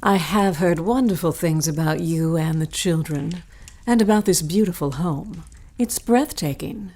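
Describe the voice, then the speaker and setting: An actress playing a poised, polite young governess in a period audio drama, formal and courteous. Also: female voice, fairly low pitch, unhurried, darkish tone. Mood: composed, formal.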